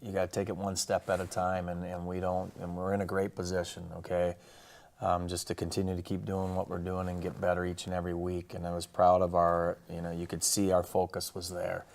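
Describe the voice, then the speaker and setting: A man with an American accent, a football coach talking casually through a game recap in a plainspoken, halting way. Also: deep voice, monotone, darkish tone. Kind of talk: casual sports recap and highlight commentary.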